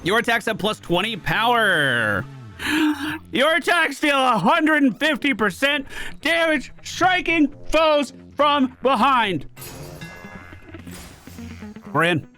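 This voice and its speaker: A man giving casual gameplay commentary in an excitable way, given to swearing when thrilled. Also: very high-pitched, slow pace, long pauses. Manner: excitable, profane exclamations. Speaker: gaming video host. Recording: game music behind voice. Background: video game music.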